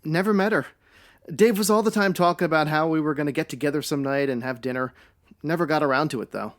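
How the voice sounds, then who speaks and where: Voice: medium pitch, fast talker, natural voice. A performer playing a wary, plain-talking 1950s man questioned by police in a radio detective parody, folksy and slangy.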